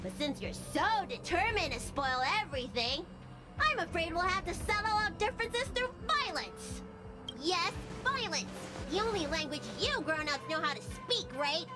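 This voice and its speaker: A woman's voice: extremely high pitch, expressive, normal speed. A woman voicing a petulant, possessed little girl in a game cutscene, whiny and childish. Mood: menacing. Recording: voice over loud music. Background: loud game score music.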